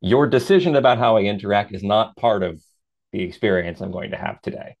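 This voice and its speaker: A man in his thirties with a deep voice, a podcast guest talking things through in a reflective, measured way with lively asides. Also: lo-fi sound. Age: 30s. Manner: reflective and measured, lifts into animated quoted asides. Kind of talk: casual interview chat.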